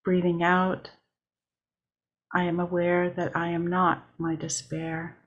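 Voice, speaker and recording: A woman leads a guided meditation at a very slow pace. Her voice sits a little low and stays level, and she speaks in short, measured, deliberate phrases with a calm delivery. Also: dull audio. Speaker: worship leader.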